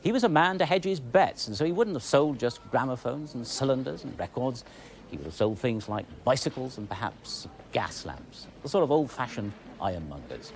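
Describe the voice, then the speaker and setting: British male documentary presenter, explanatory delivery, very varied pitch, slight background noise. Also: very animated, normal speed. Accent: British English. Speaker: television presenter.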